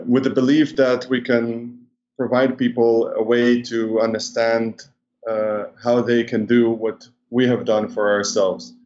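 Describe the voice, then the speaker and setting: A man's voice: medium pitch, darkish tone, dull audio. Earnest man, guest on a podcast. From Israel and the Netherlands. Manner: earnest, with uh fillers. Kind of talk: interview conversation.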